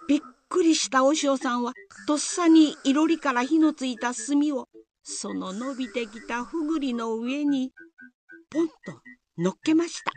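A woman's voice: on the high side, darkish tone. A woman narrator telling a scripted folk tale in a storytelling style.

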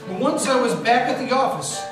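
Wry hard-boiled detective narrator, distant. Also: male voice, extremely high pitch, lively intonation, a little fast, over music. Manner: wry, hard-boiled first-person storytelling. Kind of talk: live 1940s-style radio play narration. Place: historic mansion room with live audience and Christmas tree. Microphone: stand mic, filmed by a far camera. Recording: echoey room taped from far off.

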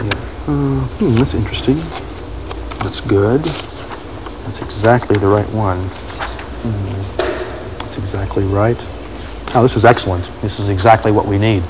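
Guy working through stuff out loud, lowish, halting, bit of noise, old 80s tv sound. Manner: hesitant, thinking aloud. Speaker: radio restoration hobbyist. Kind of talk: unscripted explanation while working. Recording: noisy 1980s TV location sound.

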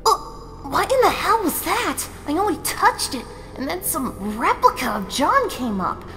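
A stern, curious fox researcher acted by a woman for a comic dub. The delivery is firm and very expressive, ringing with long reverb over music. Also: very high voice, a little slow, heavily acted. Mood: startled curiosity. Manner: firm, bossy. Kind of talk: scripted comic dub acting.

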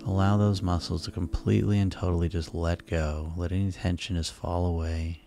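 A man speaking as a hypnotist, guiding listeners into sleep in a soothing, unhurried, repetitive way over music. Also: deep voice, flat delivery, gravelly. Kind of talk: guided sleep hypnosis narration. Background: relaxing background music.

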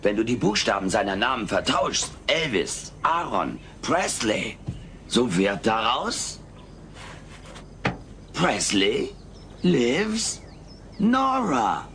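An actor playing a brash, scheming furry alien houseguest in a sitcom audio drama, glib and theatrical. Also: male voice, fairly low pitch, sing-song, a little slow, fairly bright tone. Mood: mock-innocent. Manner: glib, theatrical, mock-innocent. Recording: noisy old cassette audio drama.

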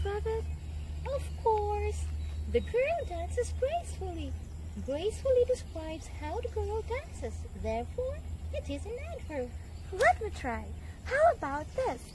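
A tiny, cheerful animal puppet for a children's lesson. The voice is squeaky and extremely high, with lively swings in pitch. It sounds cutesy and friendly, and there is clear noise behind it. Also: female voice, full character voice. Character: cheerful young female rabbit and mouse puppets, friendly and eager. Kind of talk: scripted educational puppet show.

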